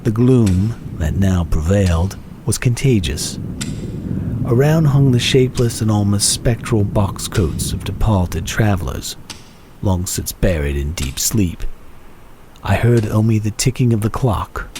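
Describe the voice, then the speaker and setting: A man giving a measured, theatrical dramatic reading of a classic short story as its narrator. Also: on the low side, animated, noisy background. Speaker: narrator, reader.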